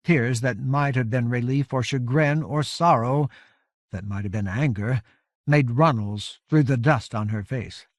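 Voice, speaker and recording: A man narrating a fantasy novel as an audiobook, with measured, grave, dramatic storytelling. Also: mid-range voice, no echo, studio quality. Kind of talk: scripted dramatic fiction narration.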